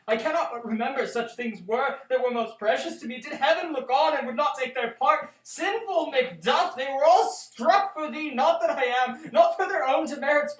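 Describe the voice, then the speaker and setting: A woman acting a bereaved noble warrior in a Shakespearean radio play, with impassioned, dramatic swings of delivery, in a roomy, slightly distant recording. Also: high-pitched.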